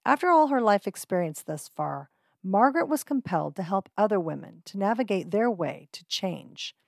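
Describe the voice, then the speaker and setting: A woman's voice: medium pitch, lively intonation, moderate pace, creaky, no echo. Dry-sounding upbeat woman podcast host. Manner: upbeat, friendly, with casual fillers. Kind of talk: podcast narration with intros.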